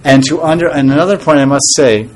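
A man giving an informal devotional talk as a spiritual teacher, soft-spoken and rambling, with pauses and restarts. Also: fairly high pitch.

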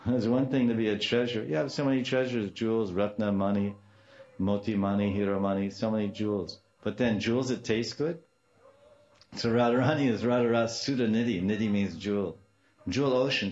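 Older guy, deep voice, informal devotional teaching w/ sanskrit words, kinda dull muffled recording. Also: a little mellow. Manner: storytelling with repeated phrases and Sanskrit terms.

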